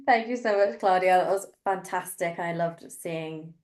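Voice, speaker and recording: A woman's voice: on the low side, measured pace, a little mellow. A woman hosting a webinar, informally moderating questions and answers. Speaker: webinar host.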